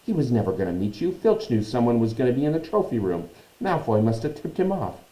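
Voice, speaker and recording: A man's voice: mid-range voice, big pitch swings, brisk, dark tone, reverberant, lightly acted, rough recording. A man voicing a bossy, exasperated young schoolgirl, insistent and snappish, in a children's storybook reading. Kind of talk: children's storybook read-aloud.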